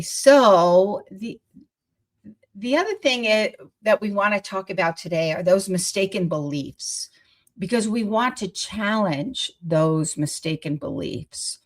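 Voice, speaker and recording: A woman in her forties hosting a podcast on play therapy, stuffy from a cold, chatty and laughing often. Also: mid-range voice. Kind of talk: casual solo podcast talk.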